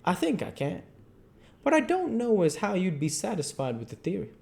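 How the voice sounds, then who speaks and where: A man's voice: high voice, wildly swooping pitch, moderate pace, gravelly, a little mellow, heavily acted. A man reading the part of a blunt, talkative working-class zookeeper in a storybook, with rustic, ungrammatical speech. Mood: modest.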